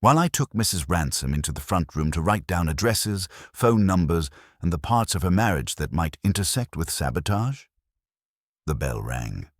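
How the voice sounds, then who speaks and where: A man's voice: low-pitched, very animated, normal speed, no room sound. A man reading scripted first-person detective fiction as an audiobook narrator, with a wry, dry wit.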